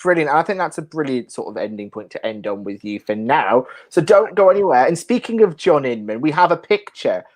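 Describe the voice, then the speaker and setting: A woman's voice: low voice, lively intonation, brisk, few pauses, a little mellow. A woman hosting a casual interview, chatting with guests and putting questions to them. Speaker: show host interviewing guests.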